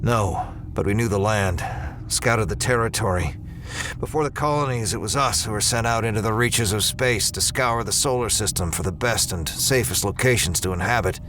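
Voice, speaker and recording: An actor playing a grim, weary, commanding space ranger in a sci-fi audio drama, with stern, measured delivery. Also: male voice, on the low side, background noise, lightly acted. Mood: gruff, guarded.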